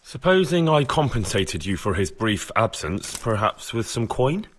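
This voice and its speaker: A man's voice: fairly low pitch, big pitch swings, measured pace, breathy edge, full character voice. A man playing an earnest, persuasive young medieval investigator in a video game, polite, probing and firm. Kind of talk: video game cutscene acting.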